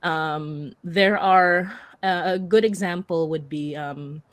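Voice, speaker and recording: A woman's voice: lowish voice, slow, dry recording. A woman speaking as a panelist in a casual discussion, earnest and informal in her way of talking.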